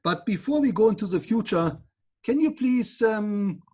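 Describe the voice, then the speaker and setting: A man's voice: high-pitched, lively intonation, normal speed, darkish tone, slightly rough. A man interviewing a guest, asking questions politely and formally.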